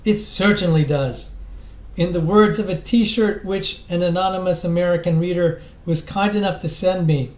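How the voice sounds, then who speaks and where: A man's voice: sits high, moderate pace. A man giving a dramatic, theatrical reading aloud from a book, stressing his phrases emphatically. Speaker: reader of a book introduction. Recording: echoey, roomy sound.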